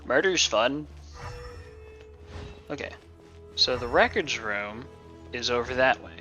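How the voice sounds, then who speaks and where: Sarcastic man streaming, lively and bright. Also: medium pitch, slow pace, highs cut off, soft background music. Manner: sarcastic, joking. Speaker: livestreamer. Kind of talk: casual game commentary.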